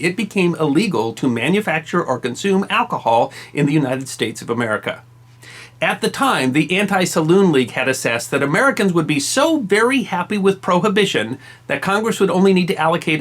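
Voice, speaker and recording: Lively storytelling male history host. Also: fairly high pitch. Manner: storytelling with wry emphasis. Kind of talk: scripted history narration.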